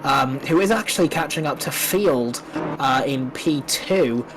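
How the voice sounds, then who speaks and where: A man's voice: mid-range voice, lively intonation, a little slow, background noise. A man doing live play-by-play commentary on a sim race, slightly nasal from a coming cold, with many fillers and restarts.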